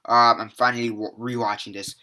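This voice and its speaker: A man's voice: mid-range voice, level tone, brisk, pushed and bright. A seventeen-year-old young man giving a rambling, casual movie review, full of fillers, restarts and the odd swear word. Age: teens.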